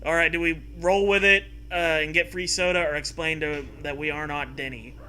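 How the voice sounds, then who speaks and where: A man's voice: fairly high pitch, steady tone, moderate pace, bright, forceful tone, clear, resonant tone. A man co-hosting and reading a comic gamebook aloud, mixing narration with casual, irreverent banter. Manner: playful, irreverent.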